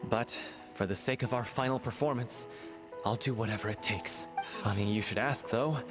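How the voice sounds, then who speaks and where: A man's voice: lowish voice, moderate pace, music in the background, natural voice. A man playing an earnest, lovelorn young writer in a video game, speaking in a hesitant, heartfelt way. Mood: resolute.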